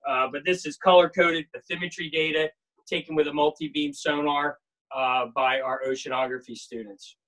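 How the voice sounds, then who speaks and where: A man in his forties guiding a live walking tour of a research boat and dock, talking casually with many pauses and fillers, filmed on a handheld phone. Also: on the high side, fairly even intonation, a bit bright, no room sound, rough recording. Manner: casual, hesitant with frequent uh fillers. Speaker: marine field station staff guide. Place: aboard a workboat and on a dock outdoors. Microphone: phone camera held at arm's length.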